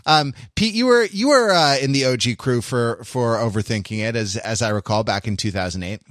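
A man hosting a casual, joking podcast, rambling along with halting starts and self-corrections. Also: medium pitch, lively intonation, fairly bright tone, dry recording, studio quality. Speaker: podcast host.

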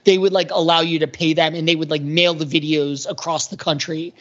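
A man's voice: a little high, little pitch movement, fairly quick, fairly bright tone. Dull-sounding, rambling American man chatting. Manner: rambling, peppered with "like". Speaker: podcast co-host. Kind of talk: casual chat, reminiscing. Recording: dull, lo-fi audio.

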